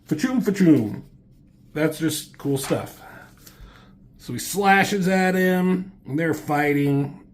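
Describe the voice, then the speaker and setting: A man in his thirties, just getting over a cold so his voice sounds slightly off, reviewing comics at home in a loose, halting way, full of ums and casual swearing. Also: fairly high pitch. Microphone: camera mic.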